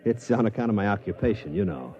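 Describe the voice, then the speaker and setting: A man playing a slick, fast-talking spaceport hustler. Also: on the low side, expressive, dark tone, natural voice. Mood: pushy. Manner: brash, wheedling street patter. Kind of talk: 1950s radio drama acting. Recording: dull old radio transcription.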